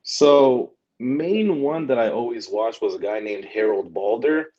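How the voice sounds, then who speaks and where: A man's voice: medium pitch, lively intonation, unhurried, dark tone, dull audio. A young man talking casually as a guest, rambling with many restarts and tags like "right?". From Germany. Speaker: guest, delivery driver and vlogger. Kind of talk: casual interview chat.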